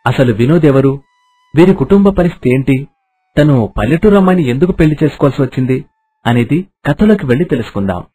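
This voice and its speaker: A man with a husky voice narrating a scripted moral story in an expressive storytelling style. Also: a little high. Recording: music under the voice.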